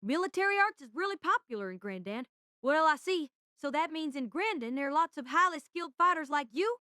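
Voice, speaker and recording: A woman voices an eager young male senpai with a high, bright voice. He is a friendly, chatty tinkerer, earnest and full of questions, recorded dry and close in audio drama style. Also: animated, a little fast, dry recording. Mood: curious, earnest.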